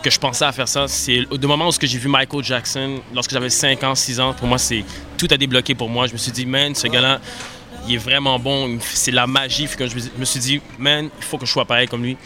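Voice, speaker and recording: Quick, bright young singer. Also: male voice, mid-range voice, little pitch movement. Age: 20s. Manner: eager, fast-talking, emphatic. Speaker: singer in a vocal group. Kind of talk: casual interview.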